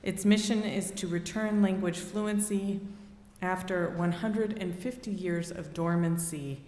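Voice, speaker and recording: A woman reading offertory announcements at a worship service in a steady, read-aloud way. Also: lowish voice, a little slow. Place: church sanctuary. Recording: long hall reverb.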